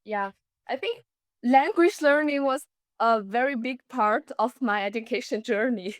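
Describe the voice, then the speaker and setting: A young woman from Inner Mongolia, a native Mongolian speaker, talking as a podcast guest and pausing often with "uh". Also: a little high, no echo, studio quality. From Inner Mongolia, China. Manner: hesitant, many "uh" pauses, laughs lightly. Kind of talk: casual interview talk.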